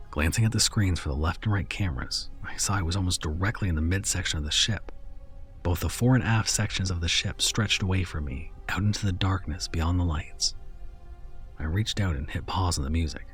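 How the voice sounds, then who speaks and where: A man narrating a first-person horror story in a measured, somber way. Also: low voice.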